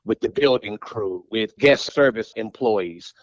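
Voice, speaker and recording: A man's voice: lowish voice, a little slow, dry recording, dull audio. A middle-aged man, an arena host, telling stories casually as a podcast guest, laughing as he goes. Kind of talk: casual interview storytelling.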